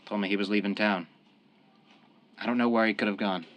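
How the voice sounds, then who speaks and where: Actor as desperate cornered mob gunman, sharp-edged voice. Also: on the low side, steady tone, brisk, no echo, lightly acted. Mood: earnest, regretful. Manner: earnest, defensive, pleading. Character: desperate, cornered young family man turned mob killer. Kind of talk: old-time radio crime drama acting.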